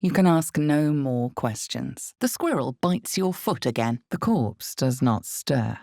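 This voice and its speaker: A woman voicing a grave, ominous fantasy game narrator, with a gravelly voice and a measured, dramatic delivery in scripted second person. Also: a bass voice, animated, mellow, no echo, studio quality, heavily acted. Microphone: close studio microphone, dry.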